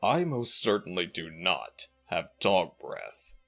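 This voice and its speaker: A man voicing an ancient jackal god in an audio roleplay, regal and fondly exasperated, with formal, measured speech and a deep, gravelly voice. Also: huge pitch swings, a bit bright, soft background music, heavily acted. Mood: flustered, indignant.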